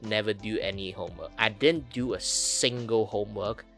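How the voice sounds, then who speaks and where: A man chatting casually in an animated, joking way. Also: lowish voice, moderate pace, faint music behind. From Malaysia. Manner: animated, joking, freely swearing. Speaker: stream host. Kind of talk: casual chat.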